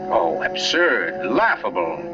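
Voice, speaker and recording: A grave, dramatic male narrator for a vintage radio suspense play. He speaks deliberately in a low voice, set a little far from the mic on a muffled old recording with music underneath. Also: sing-song. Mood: ominous.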